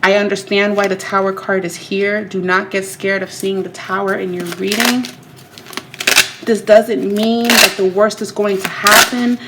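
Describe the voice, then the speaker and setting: A woman's voice: mid-range voice, steady tone, unhurried, background noise. A woman doing a tarot reading straight to her viewers, talking emphatically and repeating herself. She is still getting over a cold. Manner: repetitive, emphatic, speaks to viewers directly. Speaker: tarot reader. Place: home room.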